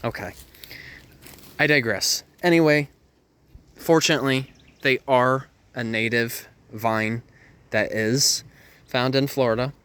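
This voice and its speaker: A man's voice: medium pitch, bright, forceful tone, clear, resonant tone. A man leading an outdoor nature walk, explaining plants in a chatty way and cracking puns at his own expense. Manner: chatty, self-amused with puns and asides. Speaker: nature guide. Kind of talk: outdoor educational walk-and-talk. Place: outdoors in a Florida hammock woodland.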